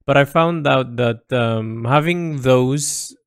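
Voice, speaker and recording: A man speaks with a noticeably gravelly, creaky voice at an average pitch, recorded very dry. He delivers a reflective artist's audio log without a script, hesitating and repeating phrases as he works out his thoughts. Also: slow, dry recording. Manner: hesitant, often repeating words and phrases as he thinks aloud. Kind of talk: reflective unscripted monologue.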